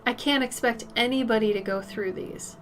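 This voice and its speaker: A woman in her late thirties talks casually with her stream chat while she paints, frank and rambling, her voice sitting a little high. Soft music runs faintly behind her. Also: normal speed. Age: 30s. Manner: chatty, reads and answers chat, frank and loose.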